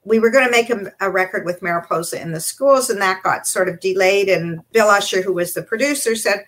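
An older Canadian woman, a children's entertainer, recounting her career in a measured, reflective way. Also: mid-range voice, fairly bright tone. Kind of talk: interview storytelling.

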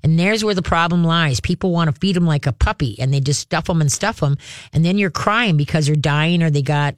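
A woman's voice: low-pitched, dry recording. A woman in her sixties hosting a pet care call-in radio show, talking in a folksy, rambling, chatty way into a studio microphone. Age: 60s.

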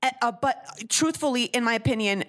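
Venezuelan-born woman comic chatting on a podcast, kinda high voice, animated. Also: fairly quick. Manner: animated, slips into Spanish impressions. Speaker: guest comedian. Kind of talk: casual podcast chat.

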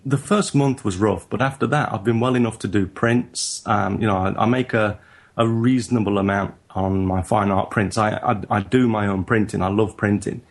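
A man with a northern English accent and a fairly low voice talks through a casual interview. He speaks in a halting, reflective way and often restarts his sentences. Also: steady tone. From northern England. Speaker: guest photographer.

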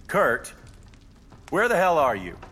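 An actor playing a haughty, secretive rich heir in an audio drama, speaking in formal, deliberate, pompous phrases. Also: male voice, on the high side, wildly swooping pitch, a bit bright, big-room sound, a little hiss or hum, exaggerated voice. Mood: irritated. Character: haughty wealthy male heir, secretive and imperious.

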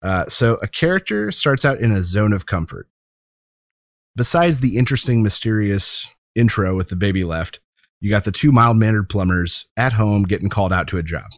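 A man's voice: on the low side, lively intonation, moderate pace, dry recording. A man hosting a podcast, chatting casually about films and leaning on key words in an informal way. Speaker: podcast host. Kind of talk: casual film discussion.

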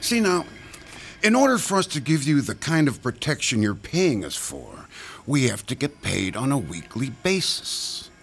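An actor playing a menacing, sneering extortionist who taunts with mock friendliness in an audio drama. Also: male voice, mid-range voice, huge pitch swings, moderate pace, creaky, a little hiss or hum. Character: menacing, sneering male extortionist thug, mocking bully. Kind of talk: modern audio drama acting.

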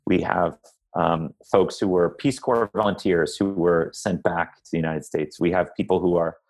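A man giving explanatory interview answers as a public health guest, in a measured, halting manner that searches for words. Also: deep voice, fairly even intonation, vocal fry.

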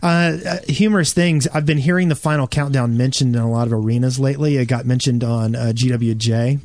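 Male podcast co-host, casual gaming chat, medium pitch, very dry recording. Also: fairly quick, a little mellow, no echo.

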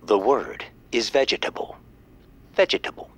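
A man playing a hushed, deliberate game-show announcer in an audio drama, with a breathy voice. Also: mid-range voice, natural voice. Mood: hushed, solemn.

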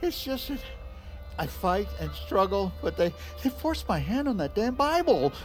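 An actor playing a whimpering, panicky Vice President in a comedic audio drama, anxious, halting and overwrought. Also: male voice, high voice, sing-song, soft background music. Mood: whimpering, distraught.

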